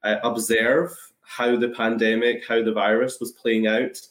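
A man with a Scottish accent explaining things thoughtfully on a webinar, with measured, hesitant phrasing. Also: mid-range voice, little pitch movement, fairly bright tone. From Scotland. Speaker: guest, anaesthesiology and intensive care resident. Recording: echoey webinar call.

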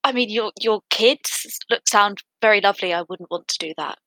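A woman's voice: mid-range voice, moderate pace, bright, forceful tone, no room sound, close to an everyday voice, rough recording. A woman playing a timid, polite, non-violent young woman who speaks apologetically in a tabletop game.

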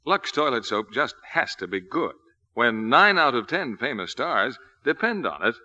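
An American man as a 1940s commercial announcer. His forceful, mid-pitched voice swings with lively emphasis, on a slightly muffled old radio recording. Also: normal speed. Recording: dull vintage radio recording.

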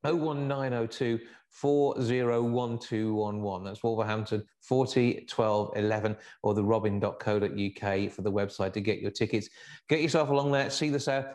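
Male radio host, mid-pitched, upbeat, promotional patter. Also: darkish tone. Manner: upbeat, plugs events and phone numbers.

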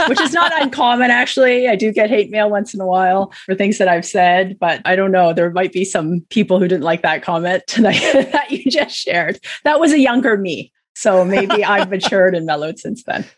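A woman's voice: mid-range voice, normal speed. A middle-aged woman with a Canadian accent, chatting as a podcast guest in a casual, self-deprecating way. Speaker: podcast guest, charity executive director. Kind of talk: casual interview conversation.

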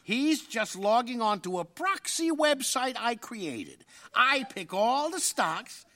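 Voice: mid-range voice, very animated, slow pace, pushed and bright, exaggerated voice. An actor playing a cocky, sardonic talking dog who is a financial whiz, in a live audio theater comedy. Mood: boastful.

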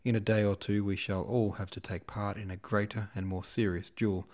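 A man reading the part of an aloof young aristocratic officer, speaking with cool, quietly contemptuous irony. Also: fairly low pitch, flat delivery, normal speed, lightly acted. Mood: cool authority.

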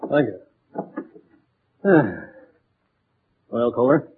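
An actor playing a brusque, wealthy client in a 1940s radio drama, clipped and demanding. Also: male voice, mid-range voice, big pitch swings, extremely slow, breathy edge, full character voice. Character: brusque, wealthy male client arranging fake death. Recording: muffled lo-fi 1940s radio recording.

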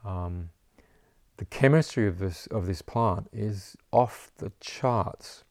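Gravelly man, low and rambling. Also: slow pace, darkish tone, no room sound, clean studio sound. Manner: rambling, repeats words, says "you know" often. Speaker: herbalist teacher. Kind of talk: casual interview chat.

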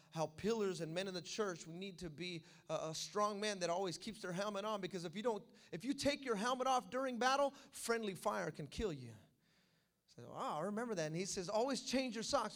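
A man preaching a sermon as pastor, animated, addressing the congregation with call-and-response asides. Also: very high-pitched.

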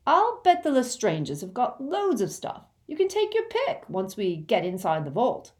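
A woman's voice: mid-range voice, big pitch swings, measured pace, a little mellow. A woman voicing a blunt, argumentative teenage boy with a pushy, colloquial manner in a storybook reading. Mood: eager.

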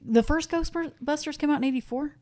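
A woman from the American Midwest co-hosting a casual movie podcast, chatty and quick to laugh. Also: sits high, mellow. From Midwestern United States. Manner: chatty, laughs often, self-deprecating. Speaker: podcast co-host. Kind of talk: casual chat.

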